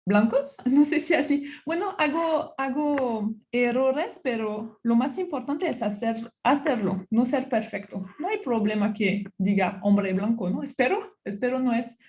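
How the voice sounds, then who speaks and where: A woman's voice: on the high side, expressive, unhurried, few pauses, a little mellow. A middle-aged woman from France with a French accent gives a conference talk as a university researcher, with many fillers and restarts.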